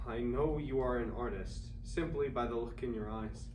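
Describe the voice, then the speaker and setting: A man's voice: mid-range voice, normal speed, a little mellow, lightly acted. A man acting an earnest, romantic young college suitor, reading formally and poetically on stage. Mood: earnest, admiring. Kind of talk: staged play reading of a love letter. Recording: roomy, noisy, distant staged reading recording.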